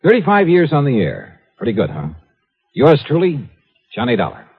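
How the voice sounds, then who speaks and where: A man's voice: medium pitch, wildly swooping pitch, moderate pace, slightly rough, narrow, tinny audio, full character voice. An actor playing a breezy, self-assured male detective hero in a 1950s radio drama. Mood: cheerful. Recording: vintage 1950s radio broadcast.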